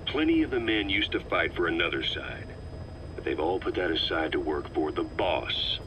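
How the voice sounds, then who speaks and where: Middle-aged military officer, very low pitch, measured, assured, calculating, game drama, clear background noise. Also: male voice, animated. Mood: firm, challenging. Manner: measured, assured, reasoning patiently.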